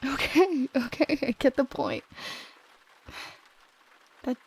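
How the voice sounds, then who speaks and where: A woman playing an affectionate, shyly teasing young roommate in an intimate ASMR roleplay, speaking softly and often dropping to a whisper. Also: high-pitched, huge pitch swings, slow pace, slight background noise, full character voice. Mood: relieved, affectionate.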